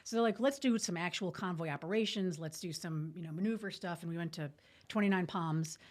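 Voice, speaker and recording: A woman's voice: on the low side, a little fast, darkish tone. A woman telling a story informally as a podcast guest, candid and colloquial, with military jargon.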